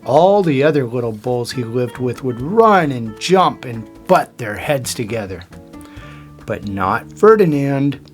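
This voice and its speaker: An older man reading a children's picture book aloud, with dramatic emphasis on key words. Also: medium pitch. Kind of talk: scripted storybook read-aloud.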